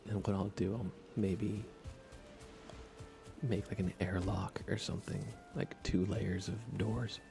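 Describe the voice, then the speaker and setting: A man streams a game as ASMR, speaking in a hushed voice that often slips into whispers. His tone sits low with plenty of fry, and he takes his time. Music plays clearly behind him. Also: fairly even intonation, slow. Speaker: live streamer, ASMR host. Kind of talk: whispered ASMR gaming commentary.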